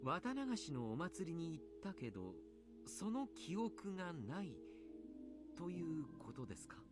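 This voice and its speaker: An actor playing a jovial, kindly adult coach in a visual novel, polite, chatty and playfully teasing. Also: male voice, a little high, very animated, fairly quick, over loud music. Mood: gently concerned. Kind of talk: Japanese visual novel voice acting.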